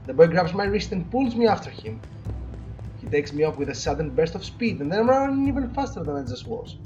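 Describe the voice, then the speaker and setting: A man reads visual novel narration aloud as a let's play co-host, his pitch moving up and down freely. He drops into casual commentary between passages. The audio is slightly muffled, with game music faintly behind him. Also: expressive, brisk. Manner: corrects co-player's words.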